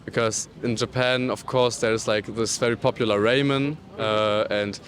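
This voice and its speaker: Male tourist, mid-pitched, hesitant street interviewee, outdoors in a city. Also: steady tone, moderate pace, a bit bright. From Germany. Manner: hesitant, many fillers and restarts. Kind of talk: casual street interview answers.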